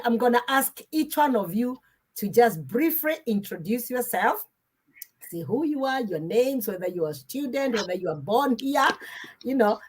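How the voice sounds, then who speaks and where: A woman hosting a live online panel, speaking emphatically and sometimes slowly and deliberately. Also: on the high side, darkish tone, slightly rough. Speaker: host.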